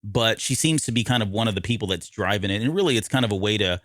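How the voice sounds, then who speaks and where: Male podcast co-host, lower register, dry recording, loose conversational explainer who revises his phrasing mid-thought. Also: expressive, fast, a bit bright, no room sound. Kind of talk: casual discussion of an audio drama.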